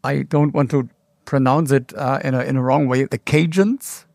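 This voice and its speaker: Man, host, careful hesitant questions, medium pitch, dry recording. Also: steady tone, moderate pace, vocal fry, darkish tone, no echo. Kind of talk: hosting a talk.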